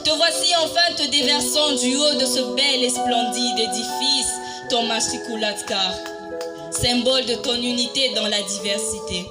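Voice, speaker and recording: A woman reciting a poem in a dramatic spoken-word style, solemn and declamatory, with deliberate pauses. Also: a little high, steady tone. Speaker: poetry reciter. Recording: music bed under the voice. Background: music.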